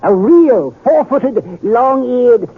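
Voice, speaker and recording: An actor playing an excitable old miser in a 1950s radio drama, on an old tinny recording. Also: male voice, very high-pitched, very slow, husky, heavily acted. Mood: giddy, jubilant. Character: excitable old miser, frightened then giddily reformed.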